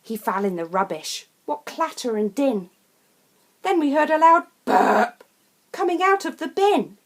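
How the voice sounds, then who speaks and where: A woman reads a rhyming children's picture book in a theatrical, deliberate and emphatic way. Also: sits high, big pitch swings. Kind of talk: expressive rhyming storybook narration.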